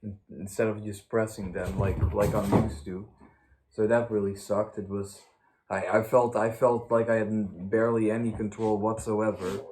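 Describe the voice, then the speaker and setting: A man with a fairly low voice rambles casually as a vlog host. His delivery is halting and full of stammered repeats, with a joking, self-mocking streak. There is faint noise behind him. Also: little pitch movement, darkish tone. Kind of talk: casual rambling storytime chat. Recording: noisy amateur vlog recording.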